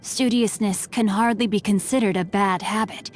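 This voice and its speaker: A woman's voice: mid-range voice, fairly even intonation, normal speed, lightly acted. A woman voicing a courteous, bookish teenage boy martial artist in a video game, with refined, eloquent and formal diction. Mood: polite, cheerful. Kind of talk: video game character voice lines. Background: music.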